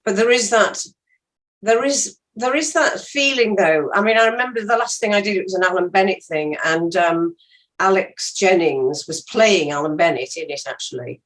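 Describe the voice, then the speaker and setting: A woman's voice: medium pitch, normal speed, fairly bright tone, rough recording. A woman, an actress being interviewed, chatting casually and telling anecdotes.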